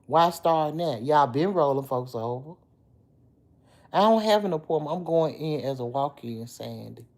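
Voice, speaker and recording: A middle-aged woman with a deep, slightly hoarse voice, chatting casually on a livestream and answering commenters. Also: lively intonation, normal speed, a little mellow. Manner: chatty, talks back to commenters by name. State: a little hoarse. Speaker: livestream host. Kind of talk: casual livestream chat with viewers.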